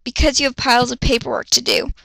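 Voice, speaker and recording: Short-tempered young male captain played by a woman, bright forceful tone, snappish and commanding, for a dramatic story reading. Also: high voice, flat delivery, fast talker, creaky, no room sound, exaggerated voice. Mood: scolding. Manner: snapping, yelling when angered. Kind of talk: fan fiction dramatic reading.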